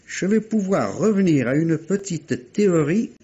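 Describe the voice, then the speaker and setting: A man's voice: fairly high pitch, lively intonation, unhurried, dark tone, husky, full character voice. An actor playing a shrewd, politely ironic police inspector in a mystery radio play, musing and hesitating as he talks. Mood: musing, confident. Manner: hesitant musing, repeating words, dry irony. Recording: dull, muffled vintage-style radio recording.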